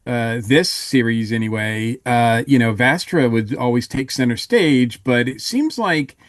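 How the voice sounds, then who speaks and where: A man talking casually as a podcast panelist, halting but enthusiastic as he reviews a show. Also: medium pitch, lively intonation, no echo, studio quality. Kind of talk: casual review chat about an audio drama.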